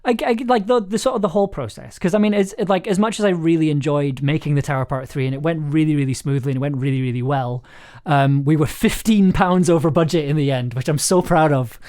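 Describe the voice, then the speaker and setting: A man's voice: on the high side, quick pace, few pauses, darkish tone. Enthusiastic, rambling man hosting a livestream. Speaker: host, audio drama writer and producer. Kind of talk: casual livestream chat. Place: remote online call on a livestream.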